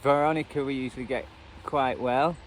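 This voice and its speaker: A man talks casually as a hobbyist video host, in a rambling, self-deprecating way. His voice sits at a middling pitch. The recording is outdoors, with clear background noise behind him. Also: fairly even intonation, a little fast. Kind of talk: casual unscripted commentary. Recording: noisy outdoor recording, radio static and traffic.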